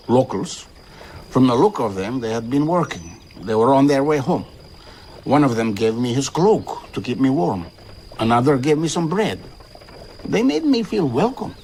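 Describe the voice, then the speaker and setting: Male actor, older traveller-philosopher, audio drama, earnest idealist, reflective storyteller, mid-pitched, audible background ambience. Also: expressive, moderate pace, darkish tone, slight background noise, close to an everyday voice, low-quality recording. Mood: wistful.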